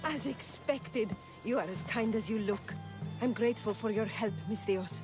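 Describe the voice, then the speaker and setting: A woman playing a composed, earnest village doctor in a video game drama, measured and polite in manner. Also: mid-range voice, music in the background, lightly acted.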